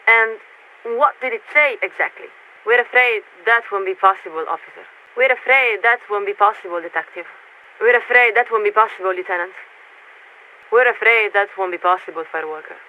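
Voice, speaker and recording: An actress playing a formal, detached military warship radio officer in a video game, speaking in clipped official procedure through a radio filter. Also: female voice, mid-range voice, a little fast, pushed and bright. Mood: formal, firm. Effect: radio transmission filter. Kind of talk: scripted video game voice acting. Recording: narrow, tinny radio link with static.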